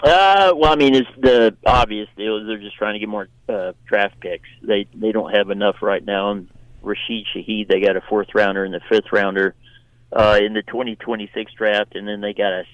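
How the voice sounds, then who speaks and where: A man giving conversational sports analysis as a radio guest, often repeating and restarting his phrases in a halting way. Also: fairly low pitch, fairly even intonation, no echo, poor audio.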